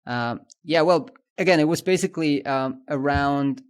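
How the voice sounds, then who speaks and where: A man's voice: fairly high pitch, normal speed, clear, resonant tone. A man with a Swiss German accent, co-hosting a podcast and thinking aloud in casual industry chat, with many fillers and restarts. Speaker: podcast co-host.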